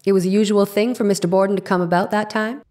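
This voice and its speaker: A woman playing a formal, deliberate trial lawyer in a dramatic reading of an old court case. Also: medium pitch, fairly even intonation, darkish tone, natural voice. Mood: probing, formal. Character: formal, probing trial lawyer cross-examining a witness.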